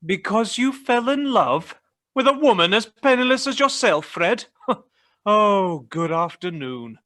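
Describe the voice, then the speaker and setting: A bitter old miser from a radio play, acted by a man, with a forceful, sharp voice pitched fairly high. He is curmudgeonly and sneering, brushing everyone off with open scorn. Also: sing-song, a little slow, heavily acted. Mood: sneering, curt.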